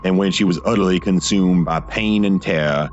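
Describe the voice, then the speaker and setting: A man reading scripted gothic horror fiction as narrator, in a dramatic, deliberate storytelling manner with weighted pauses. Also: sits low, highs cut off, a little hiss or hum.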